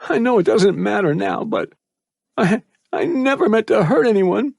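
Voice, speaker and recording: A man voicing a frightened teenage boy trapped in a cage, high and tearful with a cracking voice. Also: expressive, moderate pace, a little mellow, no echo, studio quality.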